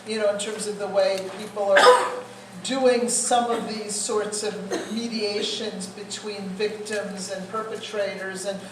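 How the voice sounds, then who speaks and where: Woman speaker, Q&A, thoughtful and explanatory, distant mic, faint hum behind. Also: medium pitch. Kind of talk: Q&A answer after a talk. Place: cafe event space. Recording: echoey room, far from mic, faint noise.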